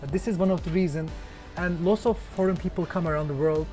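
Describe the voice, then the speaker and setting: A man with a Turkish accent talks about his home city in simple, halting English. He pauses often, restarts sentences and searches for the right words. Music plays clearly behind him. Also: high-pitched, warm tone. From Turkey, Antalya. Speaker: local guest. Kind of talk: casual interview answers.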